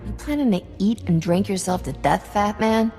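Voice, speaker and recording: An actress playing a surly, ruthless smuggler captain, curt and contemptuous, in a video game. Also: female voice, medium pitch, moderate pace, dark tone, natural voice. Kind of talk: video game character dialogue. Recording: reverberant hall sound under music.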